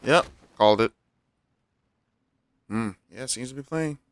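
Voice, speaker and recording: A man's voice: mid-range voice, unhurried, no room sound. Dry-sounding male game streamer. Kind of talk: casual reaction commentary.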